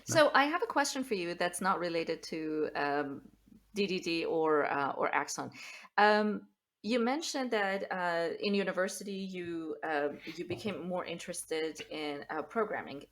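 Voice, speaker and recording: A woman hosting a podcast interview on a video call, speaking into a boom microphone, supportive and quick with short affirmations. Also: expressive, fairly bright tone. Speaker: podcast host, developer advocate. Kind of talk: casual interview questions. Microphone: studio microphone on boom.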